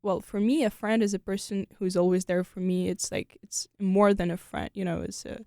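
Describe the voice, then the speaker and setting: A woman's voice: mid-range voice, steady tone, moderate pace, warm tone, no room sound, clean studio sound. A young woman from Ukraine with a Ukrainian accent, a podcast guest talking casually with lots of "you know" and "like". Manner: chatty, many fillers like "you know" and "like". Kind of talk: casual conversational interview.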